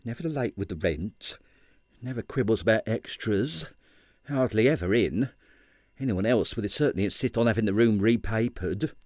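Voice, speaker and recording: A man reading scripted literary narration as an audiobook storyteller, in an expressive manner. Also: fairly low pitch, normal speed, no room sound, studio quality.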